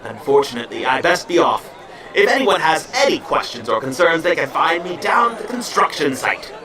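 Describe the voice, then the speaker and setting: A man's voice: a little high, sing-song, a little fast, bright, forceful tone. A man playing a pompous, theatrical small-town mayor making a formal public speech in an amateur audio drama. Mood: self-satisfied. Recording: echoey, distant, with music behind.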